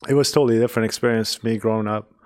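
A man's voice: lowish voice, steady tone, a little mellow. Earnest Iranian-born man, podcast host. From Iran. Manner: earnest, pauses and restarts often. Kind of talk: casual podcast conversation.

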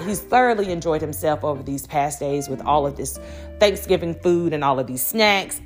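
A middle-aged woman hosting a solo podcast, talking conversationally and telling stories in a chatty, animated way with quoted asides. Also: deep voice. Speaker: podcast host.

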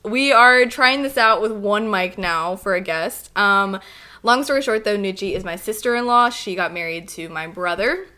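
Young woman host with a bright, clear voice, easygoing and playful. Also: mid-range voice, normal speed. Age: 20s. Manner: relaxed, playful. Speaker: podcast host. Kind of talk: casual chat.

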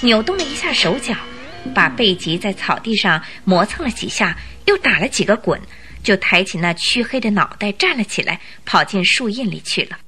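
A woman narrator tells a suspense story for radio drama with very animated intonation. Her voice is bright and mid-pitched, expressive throughout, with soft music behind it. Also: normal speed.

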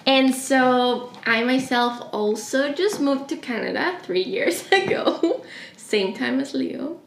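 A young woman from Chile hosting a podcast in a casual, friendly, unhurried way with some fillers. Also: high voice, vocal fry, echoey room. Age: young adult. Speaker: podcast host.

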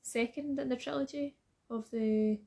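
A woman's voice: fairly high pitch, fairly even intonation, normal speed, gravelly, warm tone. Teasing Scottish woman podcast co-host. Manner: teasing, laughs often. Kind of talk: casual chat.

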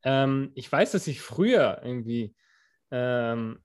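A man's voice: medium pitch, animated, takes it slowly, smooth. A young man co-hosts a casual podcast, voice in a plain middle range. He rambles, hunting for words and starting his sentences over. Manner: rambling, lots of fillers and restarts. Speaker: podcast co-host. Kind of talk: casual chat.

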